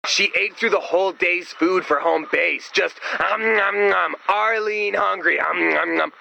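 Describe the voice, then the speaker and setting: A man playing a fussy, awkward base cook in a video game, with a halting way of talking. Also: sits high, vocal fry, sharp tone, heavily acted, rough recording. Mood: flustered complaint. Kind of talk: animated video game character dialogue.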